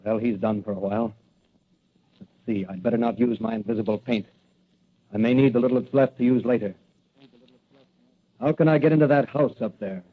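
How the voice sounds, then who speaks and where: An actor playing a bold, wisecracking masked crime fighter in an old-time radio adventure serial, with a punchy, confident, declamatory delivery. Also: male voice, mid-range voice, a little fast, long pauses, natural voice. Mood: resolute. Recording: tinny vintage radio recording.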